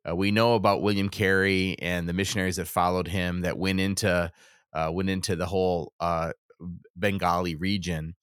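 A man's voice: low-pitched, little pitch movement, normal speed, a bit bright, clear, resonant tone, dry recording, clean studio sound. A man hosting a religious interview show in a conversational manner. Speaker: host.

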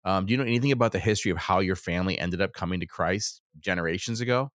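Low-voiced male show host, dry sound. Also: rapid-fire, a bit bright, dry recording. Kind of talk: conversational religious talk show interview.

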